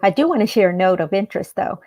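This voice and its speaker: A woman's voice: mid-range voice, animated, fast, darkish tone, no room sound. A woman from El Salvador whose first language is Spanish speaks as an interview guest. She tells a personal story in a mid-range voice. The sound is dry, with very little room. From El Salvador, then Maryland, United States from age ten.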